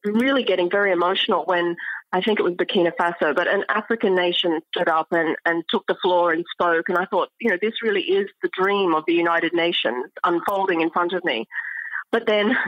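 Middle-aged female scholar as interview guest: lowish voice, measured and articulate, dry but slightly muffled recording. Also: steady tone, no echo. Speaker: interview guest, archaeology professor. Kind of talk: thoughtful academic interview talk.

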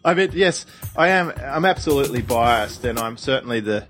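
A man's voice: medium pitch, lively intonation, moderate pace, fairly bright tone, faint music behind. Upbeat man hosting a podcast. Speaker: podcast host. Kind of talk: casual podcast chat.

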